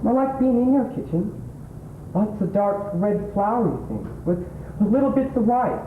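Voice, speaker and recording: Actor as high-voiced, halting English suitor, tinny tape. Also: male voice, animated. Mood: fussy, confiding. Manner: halting, repeats words as he explains. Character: scruffy, earnest, possessive male suitor, smugly analytical. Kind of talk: amateur stage play acting. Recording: tinny old tape, roomy, background hiss.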